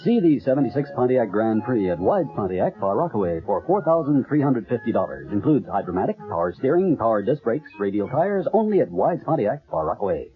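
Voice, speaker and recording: A man reads a local 1970s radio commercial with lively swings of pitch. Faint music sits underneath. The sound is narrow and tinny. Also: fairly quick, few pauses. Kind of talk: 1970s scripted local dealer ad. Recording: tinny, band-limited radio broadcast.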